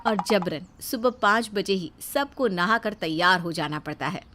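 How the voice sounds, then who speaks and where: A woman's voice: on the low side, lively intonation, normal speed, very noisy. A woman narrating a scripted moral story as its storyteller.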